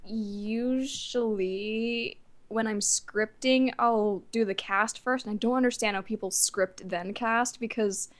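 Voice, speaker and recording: A nineteen-year-old woman chatting casually as an interview guest, with a giggly, animated way of telling stories. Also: on the high side, slow pace. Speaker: guest, amateur voice actor.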